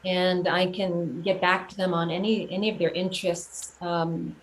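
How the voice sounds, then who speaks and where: A woman giving a casual interview about her own work, speaking earnestly and haltingly as she thinks out her explanations. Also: lowish voice, poor audio. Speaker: guest, children's singer and teaching artist. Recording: clear steady background noise.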